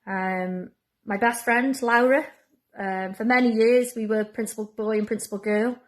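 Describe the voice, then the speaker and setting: A woman's voice: on the high side, fairly even intonation, normal speed. A woman giving a personal testimonial as a member of an amateur theatre company. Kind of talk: testimonial to camera.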